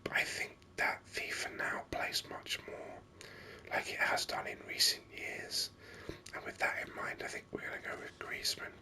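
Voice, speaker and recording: A man's voice: pushed and bright, poor audio. Whispering man doing ASMR game commentary. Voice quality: breathy unvoiced whisper. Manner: unhurried, musing aloud. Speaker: video game streamer.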